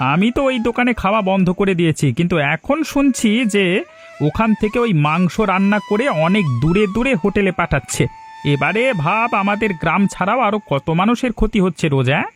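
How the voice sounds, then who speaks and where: An actor voicing a stern older village uncle in a cartoon, scolding and rallying people in a quick, forceful way. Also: male voice, high voice, lively intonation, soft background music. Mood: determined. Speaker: village elder. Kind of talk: cartoon voice acting.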